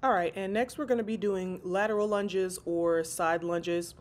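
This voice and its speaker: Woman, congested, stuffy nose, slightly low pitch, casual chatty voice-over. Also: steady tone, normal speed. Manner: casual, chatty, addresses viewers as y'all. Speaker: fitness vlogger.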